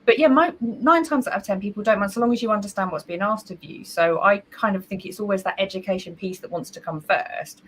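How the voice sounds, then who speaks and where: A woman's voice: mid-range voice, a little fast, hardly any pauses, fairly bright tone, a little hiss or hum. A woman speaking on a panel over a video call, persuasive and emphatic with lots of casual fillers. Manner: emphatic, persuasive, uses "like" and "you know".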